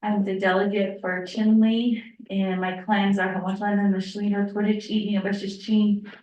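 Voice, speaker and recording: Slightly raspy woman, distant and echoey. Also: mid-range voice, little pitch movement, moderate pace, darkish tone, dull audio. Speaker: council delegate. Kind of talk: brief formal self-introduction. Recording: echoey room, far from mic.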